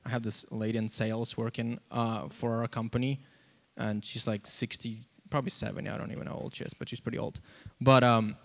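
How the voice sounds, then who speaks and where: A man gives an informal sermon and testimony into a handheld mic, halting and conversational, with lots of "like" and "you know.". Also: mid-range voice, flat delivery. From South Africa. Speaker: preacher. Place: church auditorium, on stage before seated congregation. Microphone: handheld microphone.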